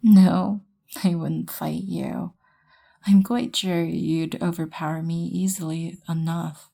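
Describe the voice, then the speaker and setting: A woman playing a proud, composed vampire queen in an ASMR roleplay, soft-spoken and breathy, with a poised, haughty, measured manner. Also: fairly low pitch, full character voice. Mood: calm, firm. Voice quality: breathy, often near whisper. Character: regal, composed female vampire queen, proud and wryly merciful.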